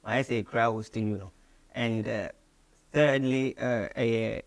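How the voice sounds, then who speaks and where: A man's voice: medium pitch, steady tone, slow. A man in his twenties with a Ghanaian accent, talking casually as an interview guest and mixing English, Pidgin and Twi. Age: 20s. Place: small radio studio office, indoors.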